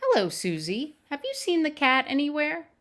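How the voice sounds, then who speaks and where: An earnest young child from a picture book, voiced by a woman, speaks in a high, swooping lilt. Every line is very polite and carefully put, with questions that rise at the end. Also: huge pitch swings, moderate pace, fairly bright tone, exaggerated voice. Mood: earnest, curious.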